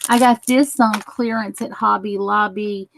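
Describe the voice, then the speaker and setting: A woman hosting a craft livestream in a chatty, giggly way, greeting viewers, her voice a little croaky. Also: medium pitch, fairly even intonation, measured pace, a little hiss or hum, poor audio. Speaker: crafting channel host. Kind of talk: casual craft tutorial livestream.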